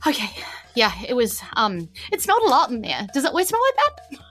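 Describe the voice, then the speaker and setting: A woman's voice: fairly high pitch, huge pitch swings, fast talker, fairly bright tone, soft background music, voice pushed up. A woman voicing an earnest, awkward young noblewoman in disguise, hesitant and slightly defensive, in an improvised tabletop game. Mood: flustered.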